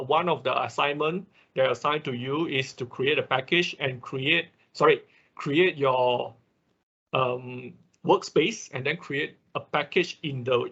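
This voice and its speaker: Husky, bright-voiced male online instructor. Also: mid-range voice, lo-fi sound. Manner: halting, step-by-step explaining with frequent check-in tags. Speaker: instructor of an online robotics class. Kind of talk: informal software tutorial with live demonstration.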